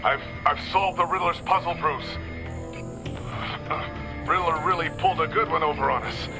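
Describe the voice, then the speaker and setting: A man's voice: a little high, unhurried, music in the background. An actor playing a loyal ally and devoted father, earnest and resigned to his fate, over a radio link in a video game drama. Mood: urgent, resigned farewell. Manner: urgent, emotional, earnest.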